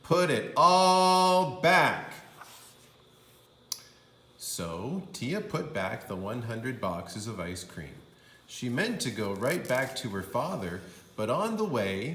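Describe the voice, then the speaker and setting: A man reads a children's story aloud in a deliberate, emphatic way. His pace is slow and his pitch moves in big swings. The room is noticeably echoey. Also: a bit bright, slight background noise. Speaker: kindergarten teacher. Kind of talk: expressive storybook read-aloud for young children.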